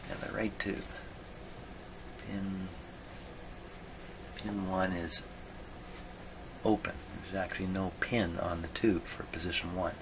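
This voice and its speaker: Low, gravelly man muttering at a workbench. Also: background noise. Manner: muttering to himself, halting. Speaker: host of a radio repair video. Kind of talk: unscripted hands-on demonstration, thinking aloud. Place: radio repair workshop.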